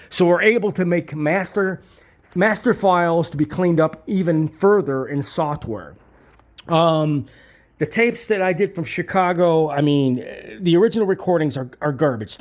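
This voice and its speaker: A man's voice: fairly high pitch, a little mellow. A man giving an informal promotional talk about his own business, speaking bluntly and emphatically with salty, repetitive phrasing. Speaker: tape transfer business owner presenting his service.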